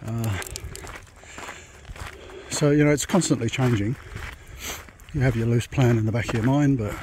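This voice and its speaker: A man's voice: medium pitch, moderate pace. A man vlogging as he walks a long pilgrimage route, talking through his plans in a rambling, thinking-aloud way. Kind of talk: casual walking vlog. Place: outdoors on a rural dirt track. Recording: noisy outdoor walking recording. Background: rural outdoor noise.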